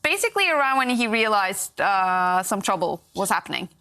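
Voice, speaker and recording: A woman's voice: medium pitch, big pitch swings, moderate pace, sharp tone. A woman giving enthusiastic, chatty commentary on a live chess broadcast.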